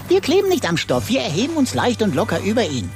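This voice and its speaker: An actor playing a flippant know-it-all computer companion in a radio play, mock-courtly, breezy and hammy. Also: male voice, sits high, wildly swooping pitch, fast, darkish tone, faint music behind, full character voice. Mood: playful, pompous.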